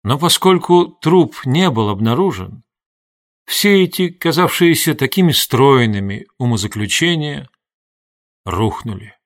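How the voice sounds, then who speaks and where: A man's voice: mid-range voice, animated, a little slow. Male audiobook narrator, middle register, scripted reading of literary prose.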